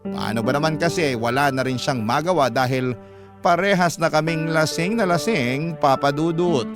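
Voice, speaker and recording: A man's voice: fairly high pitch, moderate pace. A man with a Filipino accent narrating a first-person story in radio drama style. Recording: music under the narration.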